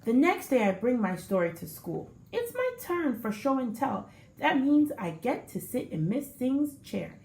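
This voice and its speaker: Expressive woman reading a children's story. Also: high-pitched, measured pace, creaky, slight background noise. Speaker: teacher reading aloud. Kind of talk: children's story read-aloud. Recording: echoey room.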